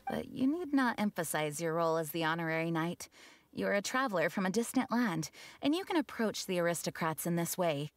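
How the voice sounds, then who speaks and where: A woman playing a dutiful, diplomatic knight commander in a fantasy game, speaking formally and earnestly in measured phrases. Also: lowish voice, lively intonation, close to an everyday voice. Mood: composed, earnest. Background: game music.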